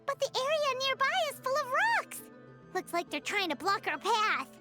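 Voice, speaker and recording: An extremely high, bright little fairy sidekick, squeaky and childlike. She whines and gets excitable, her pitch leaping about in true video game companion fashion. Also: moderate pace, soft background music, heavily acted. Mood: whiny.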